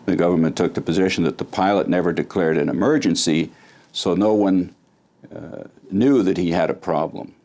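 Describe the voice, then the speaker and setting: A man's voice: deep voice, moderate pace, a little mellow. A man giving opinionated commentary as an expert interviewee.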